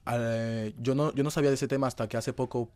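Young man, interview guest, conversational, mid-pitched voice, close dry sound. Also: fairly even intonation, brisk, a little mellow, no room sound. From Madrid, Spain. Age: young adult.

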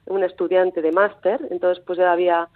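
A woman in her thirties, raised in the Basque Country, whose Spanish carries a Mexican lilt. She speaks as a researcher, hesitant and full of fillers and restarts. Her fairly low voice comes through a thin, tinny phone line. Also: level tone, moderate pace. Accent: Mexican-tinged Spanish. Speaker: coastal researcher guest. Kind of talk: phone interview.

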